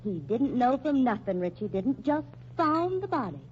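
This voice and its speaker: An actress playing a brash, teasing, wisecracking newspaper reporter with slangy, needling talk in an old radio drama. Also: female voice, fairly high pitch, big pitch swings, moderate pace, slight background noise. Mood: mocking. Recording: muffled, humming old radio recording.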